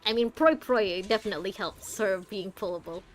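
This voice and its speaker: A woman's voice: mid-range voice, moderate pace. A woman streaming a game, chatting casually and thinking aloud in a rambling way. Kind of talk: casual chatty playthrough commentary.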